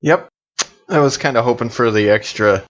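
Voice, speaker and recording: A man's voice: mid-range voice, moderate pace, fairly bright tone. A man playing in a tabletop game, speaking in casual, improvised chat about his character's moves. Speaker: player in actual-play podcast. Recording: slightly dull, lo-fi sound.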